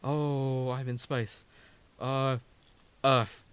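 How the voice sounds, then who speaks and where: A man voicing a childlike, excitable robot core from a video game, obsessed and easily frightened, in short repetitive exclamations. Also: medium pitch, extremely slow, clear, resonant tone. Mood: awed, anxious.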